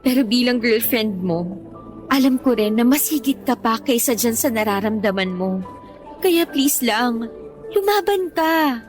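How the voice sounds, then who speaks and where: A woman's voice: on the high side, expressive, unhurried, faint music behind. A woman acting a devoted, stubbornly loyal young girlfriend in a Tagalog radio drama, with an earnest, pleading delivery. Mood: tender, reassuring.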